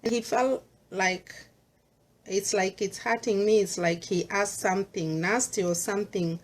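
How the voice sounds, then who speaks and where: A woman's voice: medium pitch, steady tone, unhurried. Earnest Kenyan woman, middle-aged. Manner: earnest, mixes English with Swahili. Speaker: vlogger sharing personal views. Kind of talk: personal monologue.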